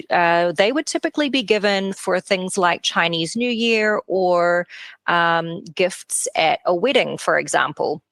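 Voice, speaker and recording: A woman speaks as a podcast guest, explaining carefully and often pausing on fillers. Her voice sits low, with a gravelly edge, and the recording is dry and close. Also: fairly bright tone, no echo. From New Zealand. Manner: thoughtful, explanatory, many fillers. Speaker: guest compliance expert. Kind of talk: podcast interview.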